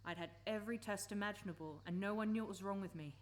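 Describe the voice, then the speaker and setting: A woman's voice: medium pitch, fast, natural voice. A woman acting an earnest, persuasive campaigner and former country teacher in a live radio play. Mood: earnest, sorrowful.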